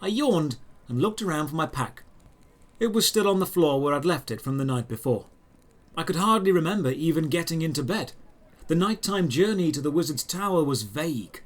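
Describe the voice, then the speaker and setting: A man narrating a scripted first-person fantasy story in a measured, descriptive storytelling manner. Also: on the high side, animated.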